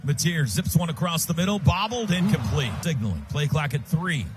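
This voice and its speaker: A man's voice: a little high, lively intonation, a little fast, a little hiss or hum. An American man calling football play-by-play on live radio in clipped, urgent present-tense phrases from a stadium booth.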